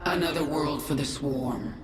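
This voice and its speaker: A woman's voice: low voice, measured pace, background noise. A woman playing a cold, commanding, vengeful queen of an alien swarm in a video game, stern and authoritative. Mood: resolute. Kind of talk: dramatic video game acting. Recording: echoing effect, under loud music.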